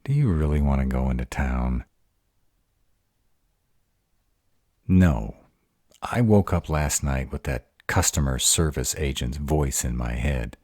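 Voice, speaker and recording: A man's voice: very deep voice, measured pace, darkish tone, no room sound, clean studio sound. A man playing a grumpy, insecure were-dragon in a romantic roleplay audio drama, hesitant and defensive as he courts his mate. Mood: grumpy, fond. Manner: hesitant, defensive, self-doubting.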